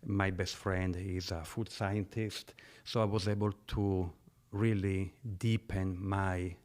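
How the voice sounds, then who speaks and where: Italian-accented man, earnest and warm-toned. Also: low voice, slow pace. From Italy. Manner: earnest, non-native phrasing, animated bursts. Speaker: guest, gelato business owner. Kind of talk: interview conversation.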